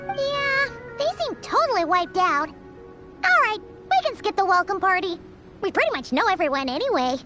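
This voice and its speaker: Casting: extremely high, swooping voice for a tiny excitable fairy sidekick, anime game, loud music behind. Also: female voice, normal speed. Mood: matter-of-fact. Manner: refers to self in third person, exclamatory. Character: tiny flying fairy girl companion, excitable and boastful. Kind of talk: anime game character acting.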